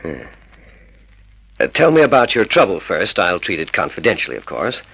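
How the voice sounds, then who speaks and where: A lively, scheming low-voiced con man. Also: vocal fry, breathy edge, dry recording, exaggerated voice, rough recording. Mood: falsely courteous. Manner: measured, falsely reassuring. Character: smooth scheming male con man posing as private detective. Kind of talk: old-time radio drama acting. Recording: vintage radio drama recording.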